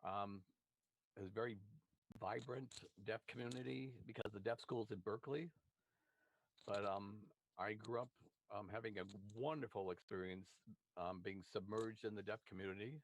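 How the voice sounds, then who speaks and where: A man's voice: on the low side, fairly even intonation, normal speed, a little hiss or hum, poor audio. A man from Oakland introducing himself on a panel, talking hesitantly with many fillers and restarts. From Oakland, California.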